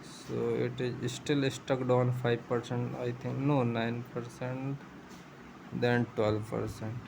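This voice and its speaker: A man's voice: mid-range voice, flat delivery, slow, creaky, noisy background. A man who is not a native English speaker, patiently explaining a coding tutorial step by step and thinking aloud as he goes. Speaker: programming tutor. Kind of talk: screen-share coding tutorial.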